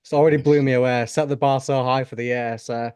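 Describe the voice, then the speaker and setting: A man's voice: mid-range voice, fairly even intonation, fast, darkish tone, clear, resonant tone, dry recording. A man hosting a podcast, chatting enthusiastically and casually with lots of "like" and "you know". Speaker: podcast host. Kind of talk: casual chat.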